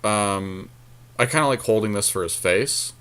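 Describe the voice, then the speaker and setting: A man's voice: lowish voice, moderate pace, a bit bright, clean tone. A man giving casual gameplay commentary as a podcast co-host, thinking aloud through tactical options. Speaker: podcast co-host and card game player.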